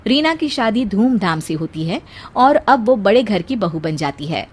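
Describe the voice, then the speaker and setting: Lively woman narrating moral tales. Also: mid-range voice, normal speed, slightly rough. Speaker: story narrator. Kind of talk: scripted storytelling narration for cartoon moral tales.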